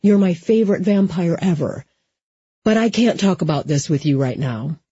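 A woman voicing a weary, gentle, conflicted man in an audiobook, with a subdued manner. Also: fairly low pitch, normal speed, husky, dull audio.

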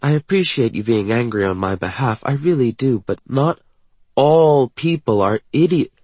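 A man's voice: medium pitch, lively intonation, normal speed, darkish tone, slightly rough, no room sound, pitched above the performer's own voice. A man voicing an anxious, self-doubting young woman in an audiobook, tender and apologetic, with a hesitant, emotional delivery. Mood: gently reproving. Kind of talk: audiobook dialogue acting.

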